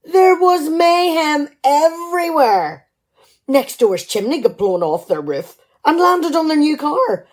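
A woman playing a nagging, exasperated, sharp-tongued middle-aged wife in a radio play. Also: high voice, very animated, takes it slowly, full character voice. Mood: exasperated ranting. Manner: exasperated, sarcastic scolding.

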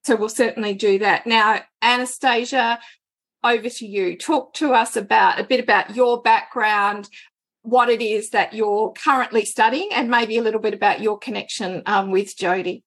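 A woman hosting an interview podcast, upbeat and affirming as she leads the talk. Her voice sits fairly high for a woman. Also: fairly even intonation, normal speed, fairly bright tone. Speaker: podcast host.

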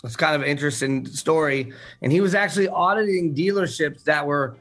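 A man in his thirties from Central Florida, a dealership owner answering interview questions in an earnest, halting way with fillers over a remote call. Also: fairly high pitch, fairly bright tone, faint music behind. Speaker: car dealership owner, guest. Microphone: remote video call.

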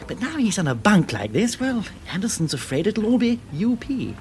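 Hearty upper-class English club man, very animated. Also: high voice, moderate pace, slight background noise. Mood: blustering, gossipy. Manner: blustering, slangy, emphatic. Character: hearty upper-class stock exchange gentleman, affable, easily flustered. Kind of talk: radio drama acting.

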